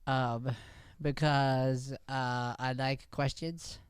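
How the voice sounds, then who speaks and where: A man's voice: mid-range voice, little pitch movement, very slow, clear, resonant tone, dry recording, clean studio sound. A man playing an earnest, evasive schoolkid in an improvised radio comedy, hesitant and innocently questioning. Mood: sheepish, evasive. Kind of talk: improvised old-time radio comedy acting.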